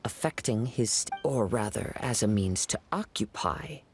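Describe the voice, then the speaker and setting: An actor playing a calm, poetic young wandering swordsman in a video game, speaking in a composed, measured, formal way. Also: on the low side, gravelly, a little hiss or hum. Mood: calm, thoughtful. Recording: game music behind the voice.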